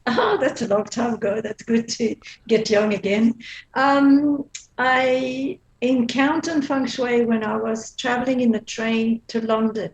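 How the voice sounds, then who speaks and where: A woman in her fifties from Belgium with a Belgian accent, a guest in a casual interview who talks in a lively way, laughs often and restarts her sentences. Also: a little high, a little slow, low-quality recording.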